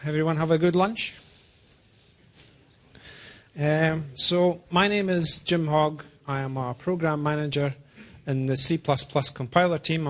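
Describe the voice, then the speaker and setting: Man with a half-Scottish, half-American accent. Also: a little high. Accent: Scottish-American mix. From Scotland. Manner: deliberate, halting delivery, checks in with listeners. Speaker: software program manager giving a presentation. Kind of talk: informal technical talk to a small audience.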